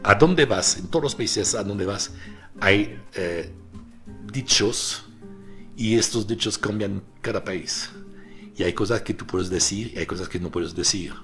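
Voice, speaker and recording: A man's voice: lowish voice, unhurried, bright, forceful tone, soft background music. A man whose first language is French, speaking Spanish thoughtfully in a documentary interview. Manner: thoughtful, hesitant fillers.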